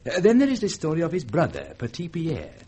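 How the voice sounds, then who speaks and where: An actor playing a deferential, gossipy Creole government aide with a French accent, telling tales politely and haltingly in a vintage radio drama. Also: male voice, fairly high pitch, huge pitch swings, warm tone, slightly rough, exaggerated voice. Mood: confiding.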